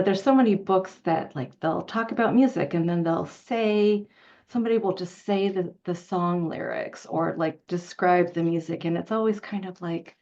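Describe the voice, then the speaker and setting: Female panel moderator, lowish voice with gravelly fry, informal and meandering delivery. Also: darkish tone. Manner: halting, rambling, informal. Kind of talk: casual panel discussion. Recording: dull, lo-fi audio.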